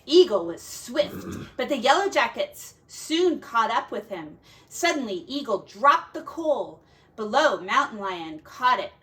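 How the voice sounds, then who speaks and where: A woman reads a children's folk tale aloud with a fairly high voice. Her delivery is unhurried and dramatic, with big swings in pitch. She sounds like a teacher reading to young listeners. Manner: dramatic, deliberate, with quiet asides to young listeners.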